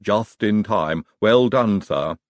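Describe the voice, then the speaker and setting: A man voicing a smug, servile gothic laboratory henchman in an audiobook, with a lisp and a deliberate, deferential manner. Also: lowish voice, expressive, dry recording, clean studio sound. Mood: brisk, relieved. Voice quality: lisping.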